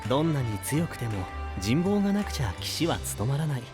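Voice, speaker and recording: A man playing a calm, gently serious young knight in an anime-style voice drama, measured and sincere. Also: mid-range voice, over music, close to an everyday voice. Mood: reassuring.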